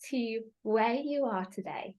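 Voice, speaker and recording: A woman's voice: on the high side, takes it slowly, darkish tone. A woman hosting an online summit, introducing her guest in an effusive, upbeat way. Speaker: summit host. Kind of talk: scripted guest introduction and interview.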